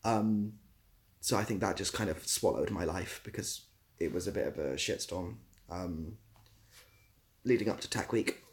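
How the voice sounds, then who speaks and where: A man's voice: sits low, measured pace. A man hosting a casual livestream, answering viewer comments in an informal, rambling way and searching for his words. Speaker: ASMR creator hosting a livestream; theatre assistant producer.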